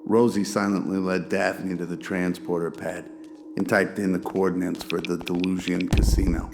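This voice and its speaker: A man's voice: low-pitched, flat delivery, moderate pace, vocal fry, background noise. A man narrating a scripted radio drama as its storyteller. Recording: music behind the voice.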